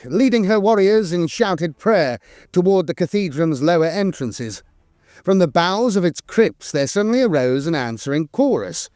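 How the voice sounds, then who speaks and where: A man reading dramatic scripted war lore in a solemn, measured manner, as a channel narrator close to the microphone. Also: a little high, a little mellow, no echo.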